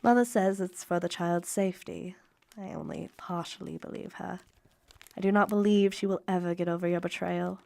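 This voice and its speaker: Wounded Victorian little sister, low and creaky, formal letter-reading for radio drama. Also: female voice, fairly even intonation, a little mellow, no room sound. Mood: anxious, pleading. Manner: formal, measured, emotive period diction.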